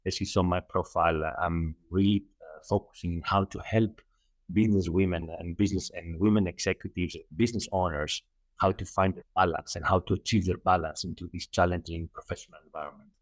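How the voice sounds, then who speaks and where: A middle-aged man from Greece with a Greek accent, a coach answering interview questions earnestly, often repeating himself and pausing on fillers. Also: deep voice, steady tone, no room sound. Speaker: guest, holistic coach.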